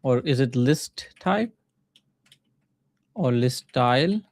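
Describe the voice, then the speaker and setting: A man from Pakistan with a Pakistani accent and Urdu as his first language, casually thinking aloud in short halting phrases as he live-streams coding. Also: mid-range voice, expressive, dry recording. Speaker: livestreaming coder and mentor.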